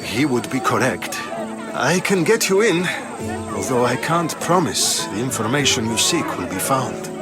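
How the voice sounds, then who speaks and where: A man's voice: mid-range voice, sing-song, a little slow, loud music behind the voice, a loud crowd. An actor playing a wry, weary, dignified Athenian statesman in a video game, speaking formally and articulately. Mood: businesslike. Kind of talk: dramatic video game acting.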